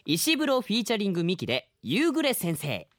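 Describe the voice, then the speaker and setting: A man's voice: high voice, huge pitch swings, a little fast, background noise. A man co-hosting a radio show in casual banter, chuckly and agreeable as he echoes his partner. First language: Japanese.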